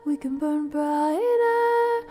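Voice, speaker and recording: A woman singing softly as a streamer on an ASMR stream of song requests. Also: sits high, lively intonation, very slow, big-room sound, over loud music. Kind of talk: soft ASMR singing stream with casual chat.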